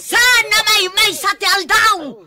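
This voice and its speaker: A woman acts a quarrelsome, vengeful old mother in a radio drama. Her voice is high and sharply forceful, full of scolding and complaint. She sounds stubborn and easily offended. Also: takes it slowly, exaggerated voice. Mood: furious, aggrieved. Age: older. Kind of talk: Ilocano radio drama acting.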